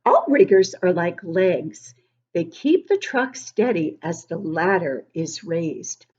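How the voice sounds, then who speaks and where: A woman reads a children's picture book at an unhurried pace, her pitch moving in lively swings. She stops to explain things simply for young listeners. The audio is somewhat dull and lo-fi. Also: slightly rough. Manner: animated, explanatory, child-directed. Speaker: read-aloud book club host for young children.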